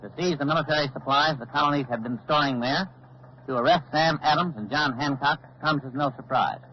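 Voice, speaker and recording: An actor playing a grave, authoritative male news analyst in formal, deliberate 1940s radio commentary. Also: a little high, natural voice. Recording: dull old 1940s radio recording, faint noise.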